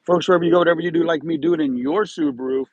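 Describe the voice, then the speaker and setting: A man hosting a live stream from inside his parked car, giving a preachy, emphatic motivational talk with drawn-out pauses. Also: on the high side, a little mellow, no echo, highs cut off. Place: parked car with window open on a drizzly day.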